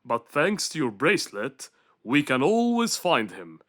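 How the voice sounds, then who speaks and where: A man's voice: mid-range voice, huge pitch swings, unhurried, fairly bright tone, heavily acted. A man playing a genial, courteous lion king in a children's cartoon, regal and friendly. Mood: genial, welcoming. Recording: music behind the voice.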